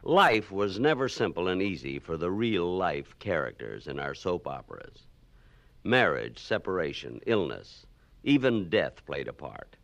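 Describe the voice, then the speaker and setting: Deep-voiced male narrator. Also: sing-song, a little slow, vocal fry, a bit bright, dull audio. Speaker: compilation host. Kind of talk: scripted documentary narration.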